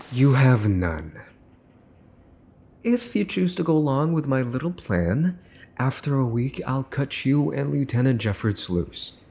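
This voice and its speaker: A man playing a smug mafia boss's son in an ASMR roleplay, teasing and condescending as he makes cool, possessive threats with pauses for effect. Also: medium pitch, a little mellow, slight background noise. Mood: condescending, menacing. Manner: teasing, smooth-talking, condescending, pausing for effect. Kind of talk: ASMR boyfriend roleplay, scripted monologue to listener.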